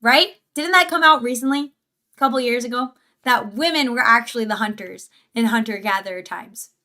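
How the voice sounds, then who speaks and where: A woman's voice: a little high, brisk, pushed and bright. A woman hosting a livestream, giving casual, opinionated reaction commentary in an emphatic way with rhetorical questions. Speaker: livestream host.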